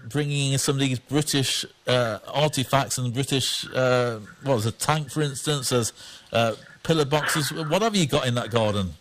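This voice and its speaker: A man's voice: medium pitch, moderate pace, fairly bright tone. A man hosting a radio talk show, interviewing a guest in a friendly, casual way with some hesitant fillers. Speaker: radio host.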